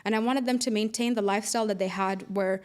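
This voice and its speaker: Podcast guest: woman from the UAE, mid-pitched, informal conversational explainer and storyteller. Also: fairly even intonation, fast. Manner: informal, explains with everyday analogies. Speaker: podcast guest, air traffic controller.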